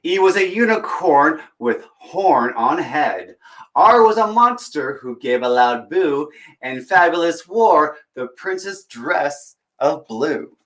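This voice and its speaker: A man's voice: on the high side, expressive, slow pace, fairly bright tone. A man reading a picture book aloud as a children's story time host, adding chatty, encouraging asides to young listeners.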